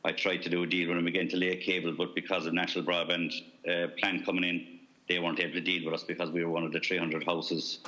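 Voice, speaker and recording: A man's voice: sits low, pushed and bright, poor audio. A man from Ireland giving testimony to a committee, plain-spoken and conversational. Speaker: committee witness. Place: committee room.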